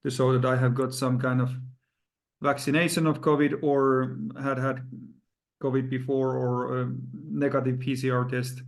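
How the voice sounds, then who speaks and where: A man from Finland hosting an interview over a video call, speaking haltingly with many fillers. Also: mid-range voice, level tone. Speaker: host.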